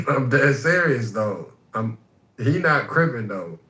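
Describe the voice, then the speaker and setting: A man in a heated group voice chat, talking in laid-back, drawn-out street slang. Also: medium pitch, animated, pushed and bright, roomy sound, poor audio. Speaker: voice chat participant.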